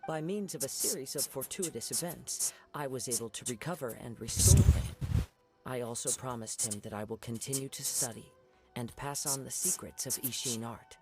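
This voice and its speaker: A man voicing a calm, poetic young wandering swordsman in a video game, soft-spoken and formal. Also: mid-range voice, normal speed, loud music behind the voice, lightly acted.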